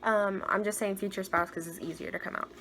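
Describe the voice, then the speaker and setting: A young woman with a higher-pitched voice doing a casual tarot card reading, chatty and gushing, sometimes dropping to soft murmured asides. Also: steady tone, a little fast, gravelly. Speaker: tarot reader.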